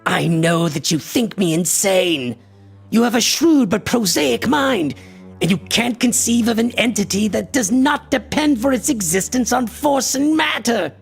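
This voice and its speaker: A woman playing an arrogant, obsessive male antiquarian in a radio horror drama, raspy and creaky, with grandiose, condescending delivery. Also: fairly high pitch, expressive, a little slow, heavily acted. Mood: intense, condescending. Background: faint music.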